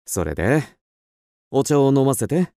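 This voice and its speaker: A man playing an upper-class husband in a radio drama, suave and indulgent, teasing with gentle condescension. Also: medium pitch, very animated, measured pace, dry recording, studio quality. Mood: amused, disapproving.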